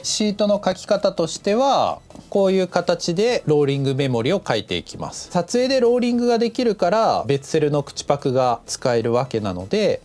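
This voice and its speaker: A man's voice: fairly high pitch, a little mellow. A man giving a casual tutorial as an animation instructor, explaining things step by step in an instructive way. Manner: instructive, demonstrating step by step, counting aloud. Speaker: animation director teaching a lesson. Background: background music.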